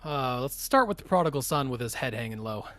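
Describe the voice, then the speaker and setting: A man's voice: medium pitch, animated, fast, pushed and bright, no room sound. A man refereeing a tabletop roleplaying game as game master, talking casually and sometimes muttering to himself. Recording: music under the voice.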